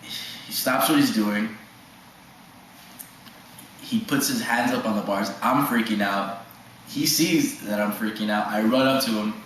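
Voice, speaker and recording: An eighteen-year-old man giving a halting, stammering mock documentary testimony. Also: fairly low pitch, a bit bright. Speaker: student interviewee. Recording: echoey room with faint noise.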